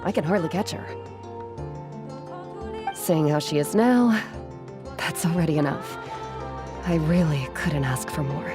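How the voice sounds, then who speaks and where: A soft-hearted young artisan in an anime game. Her voice sits a little low for a woman, gentle and polite, with measured, modest phrasing over faint music. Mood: fond, wistful.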